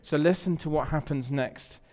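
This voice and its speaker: Pastor preaching in a reverberant church. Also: male voice, on the high side, normal speed. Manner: warm storytelling, repeats words when searching. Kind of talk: conversational sermon. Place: church sanctuary.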